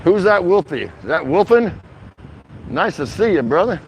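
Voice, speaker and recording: A man's voice: high voice, big pitch swings, moderate pace, low-quality recording. A middle-aged man vlogging live on a walk, chatting casually in an easygoing, rambling, slangy way to his viewers. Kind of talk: casual livestream walking-tour chat. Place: outdoors, walking through a city shopping district. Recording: outdoor city noise, passing traffic.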